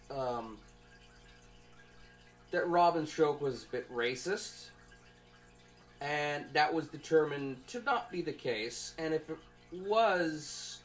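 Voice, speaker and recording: Halting, conversational young adult student presenter. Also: medium pitch. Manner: conversational, rambling, halting, thinking aloud. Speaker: college student presenting an assignment. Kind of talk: informal spoken class presentation.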